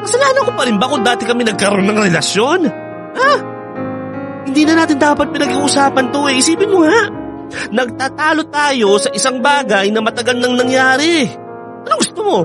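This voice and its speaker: Animated high-pitched Filipino boyfriend. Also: male voice, a little fast. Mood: exasperated, argumentative. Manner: emphatic, expressive. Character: exasperated but affectionate boyfriend of a jealous woman. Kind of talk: Filipino radio drama acting. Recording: music under the voice.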